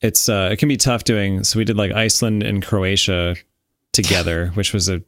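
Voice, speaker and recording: A man's voice: on the low side, steady tone, normal speed, fairly bright tone, dry recording, studio quality. A man co-hosting a podcast, telling travel anecdotes and laughing often. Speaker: podcast co-host. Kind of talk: casual chat.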